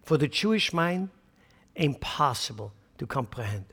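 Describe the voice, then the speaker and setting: Swiss german accented pastor, high voice, echoey big hall, asks the crowd questions. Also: male voice, measured pace, husky. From central Switzerland. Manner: animated, emphatic preaching with rhetorical questions. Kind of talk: sermon.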